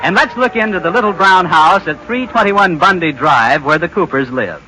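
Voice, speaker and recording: Casting: genial vintage radio narrator, high-pitched, bright voice, slightly noisy old recording. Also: male voice, lively intonation, normal speed. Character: genial radio storyteller introducing the household. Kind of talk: 1940s radio announcer narration.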